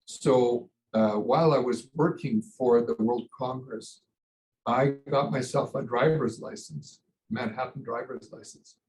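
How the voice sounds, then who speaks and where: An older man speaks as an interview guest, winding through a personal anecdote with fillers and restarts. His pitch sits at an ordinary middle level. A faint hiss of noise lies under the voice. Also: fairly even intonation, unhurried, a little mellow, low-quality recording. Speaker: immigration lawyer guest. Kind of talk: casual interview storytelling.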